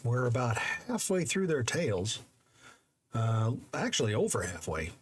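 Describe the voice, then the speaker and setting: A man hosting a storytelling channel, chatting casually with many fillers before a reading, his voice slightly hoarse. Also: medium pitch, big pitch swings, a little slow, soft background music. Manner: rambling, hesitant, many fillers, chuckles.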